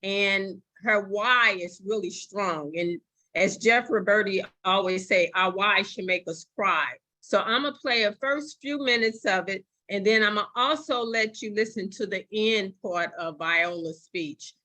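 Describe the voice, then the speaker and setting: A woman's voice: medium pitch, measured pace, sharp tone, rough recording. A woman on a video call giving an emphatic motivational talk to her team and introducing a video. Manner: emphatic, repetitive.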